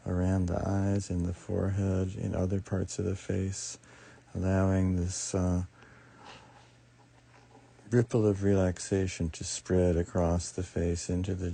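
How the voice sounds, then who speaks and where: A man leading a guided meditation as teacher, speaking soothingly in short, unhurried phrases with long pauses. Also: low-pitched, creaky, warm tone. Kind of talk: guided meditation, improvised soft instruction.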